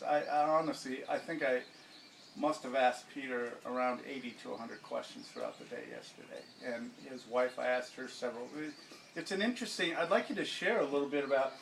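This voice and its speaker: A man's voice: medium pitch, creaky. A man hosting a casual interview in someone's home, effusive, laid-back and earnest. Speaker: interviewer, host of his own channel. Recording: roomy, distant, noisy recording.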